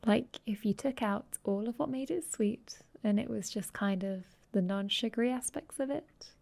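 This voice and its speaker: A woman playing a dominant, affectionate vampire girlfriend in an intimate ASMR roleplay, speaking softly and tenderly, often in a breathy whisper, close to the mic. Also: fairly high pitch. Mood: playful, musing. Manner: slow, tender, teasing. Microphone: close microphone.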